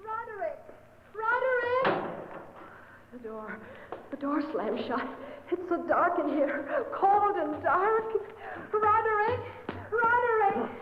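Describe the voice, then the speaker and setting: A woman's voice: very high-pitched, big pitch swings, slow pace, exaggerated voice. An actress playing an anxious, pleading young lady with breathless melodrama, in old-time radio horror style. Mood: terrified. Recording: muffled echoing radio recording, loud noise.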